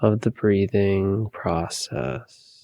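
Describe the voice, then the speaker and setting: A man leading a guided meditation, giving calm, soothing, unhurried instructions with long pauses. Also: deep voice, vocal fry.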